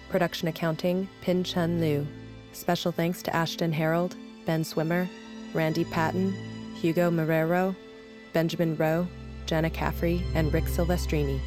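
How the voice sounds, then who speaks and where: A woman announcer reading scripted credits in a measured, list-like way. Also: on the low side. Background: music.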